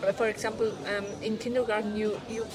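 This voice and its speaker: A woman from Switzerland, a film director, talking in a casual interview, halting and restarting as she searches for words. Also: medium pitch, little pitch movement. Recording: crowd chatter behind.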